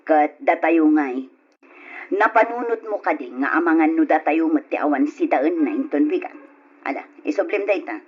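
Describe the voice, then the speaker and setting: A woman's voice: sits low, animated, normal speed, a little hiss or hum. A woman playing a caring, practical mother and long-suffering wife in a poor family, in an old Ilocano radio drama. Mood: stern, worried. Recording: muffled lo-fi radio recording.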